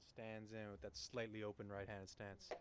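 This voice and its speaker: A man's voice: on the low side, level tone, moderate pace. Casual young Canadian man calling baseball over noise. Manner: informal, slangy, jokey. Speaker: baseball play-by-play commentator. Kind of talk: casual, chatty live sports commentary. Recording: noisy live ballgame broadcast.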